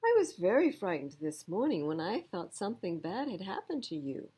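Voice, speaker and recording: A woman's voice: mid-range voice, very animated, moderate pace, warm tone, breathy edge. A woman voicing a kindly, sensible male hare who worries about his friends in a children's storybook. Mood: reassuring.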